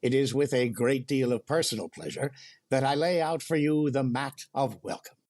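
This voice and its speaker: A man playing a befuddled, mock-formal, long-suffering comedian in a 1930s radio comedy, with a husky voice. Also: mid-range voice, normal speed, a little mellow, natural voice. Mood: flustered, formal.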